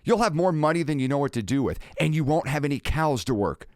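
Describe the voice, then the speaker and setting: An actor playing a proud, middle-aged ranch hand in an audio drama, plainspoken, terse and folksy. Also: male voice, on the high side, expressive, fast, no room sound, clean studio sound, lightly acted. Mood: defiant, angry. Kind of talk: naturalistic full-cast audio drama acting.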